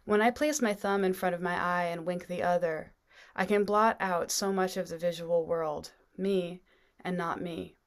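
A woman around her thirties reads poetry in a measured, deliberate way over a video call. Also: fairly low pitch, fairly even intonation. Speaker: poet. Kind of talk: poetry reading. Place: small room at home, on a video call.

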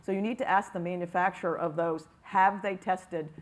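Low-voiced woman panelist, halting. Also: fairly even intonation. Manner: halting, restarts often, explanatory. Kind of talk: conference panel discussion. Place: conference room.

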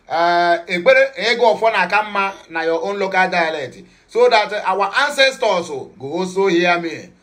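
A man's voice: high-pitched, fairly bright tone. A man with a Nigerian accent hosting a casual news commentary from a home studio, playful and mixing in Pidgin and Igbo. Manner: playful, switches between English, Pidgin and Igbo.

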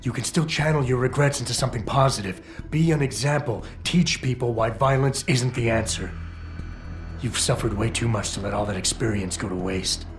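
A stoic security operative from a video game, played by a man. He speaks in measured, terse lines at a medium pitch with determination. Faint music runs behind him. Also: natural voice. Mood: earnest, persuasive. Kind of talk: video game cutscene dialogue.

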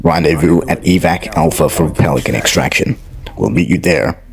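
A man voicing a stoic armored super-soldier commander in a sci-fi military fan dub, giving terse, deadpan orders in a gravelly voice. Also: deep voice, moderate pace. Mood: stoic, commanding. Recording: loud music behind the voice. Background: music.

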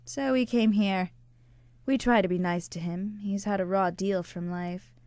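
An actress as a young American barmaid, plain-spoken and unimpressed, with a medium-pitched voice. It is radio drama dialogue, recorded dry and close. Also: female voice, steady tone, measured pace, darkish tone, no room sound, lightly acted. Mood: matter-of-fact.

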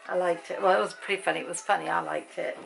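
Croaky chatty English woman. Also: fairly low pitch, steady tone, quick pace, soft background music, rough recording. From Britain. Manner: chatty, rambling, laughs often. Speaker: vlogger. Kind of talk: casual chat to camera. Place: bedroom at night, dim lamp light. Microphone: handheld camera at arm's length.

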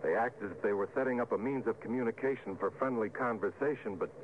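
A cautious, thoughtful ship's psychologist played by a man talks in a measured, slightly low voice. It is vintage radio drama, very narrow in sound with faint noise. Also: close to an everyday voice. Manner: measured, hesitant. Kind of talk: 1950s radio drama acting. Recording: phone-like vintage radio recording, faint hiss.

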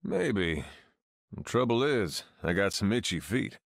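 An actor playing a laid-back, roguish cowboy in a video game, gravelly and folksy. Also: male voice, fairly low pitch, sing-song, a little slow, no echo, studio quality, full character voice.

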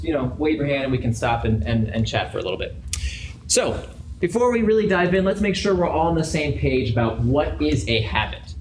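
Man giving a talk in an echoey room. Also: a little high. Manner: conversational, asks the audience questions. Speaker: guest speaker. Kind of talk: conference talk to a live audience. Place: large open office event space with audience. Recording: echoey room with background noise.

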